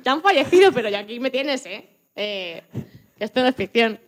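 A woman from playing a lively, joking retired Antarctic scientist in her eighties in a radio interview, often restarting her sentences. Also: a little high. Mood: animated, reflective. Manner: chatty, joking, halting with restarts. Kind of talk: scripted mock radio interview.

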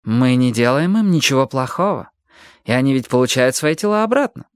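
A woman's voice: extremely low pitch, expressive, brisk, clean tone, no echo, clean studio sound. A woman voicing a self-assured young man in an audiobook, nonchalant and slyly condescending. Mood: smug. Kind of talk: audiobook character voice.

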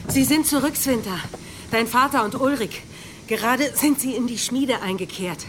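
A woman playing a concerned, gently firm village mother in a German audio drama. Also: fairly high pitch, moderate pace, close to an everyday voice. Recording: clear ambient background noise.

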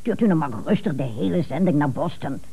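A mellow-voiced, no-nonsense female secretary in a 1970s radio comedy. She speaks with lively intonation and an admonishing, sensible manner. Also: deep voice, brisk, creaky, breathy edge, full character voice. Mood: impatient, reassuring. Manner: matter-of-fact, proverb-quoting, admonishing. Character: brisk, matter-of-fact female office secretary.

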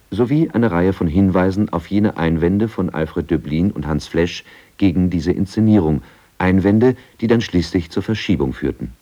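A man narrates a radio documentary from a script in a low register. His tone is dark and soft-edged, suited to presenting archival material.

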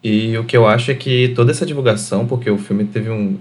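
A Brazilian man chatting casually as a podcast panelist, informal and halting, often repeating words. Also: medium pitch, a little mellow. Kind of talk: casual chat.

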